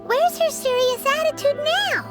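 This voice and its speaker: A woman voicing a small, childlike fairy companion in a video game, squeaky, cutesy and exclamatory, curious and blunt. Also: measured pace, loud music behind the voice. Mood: puzzled. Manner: cutesy, exclamatory, refers to self in third person. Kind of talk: English video game voice acting.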